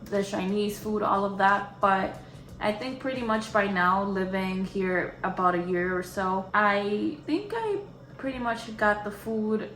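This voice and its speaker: A woman's voice: medium pitch, a little slow, fairly bright tone, a little hiss or hum. Chatty young woman with a Boston accent. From Boston area. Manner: chatty, lots of "like" fillers. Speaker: vlogger. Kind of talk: casual Q&A vlog. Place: her apartment. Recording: roomy echo of a home room.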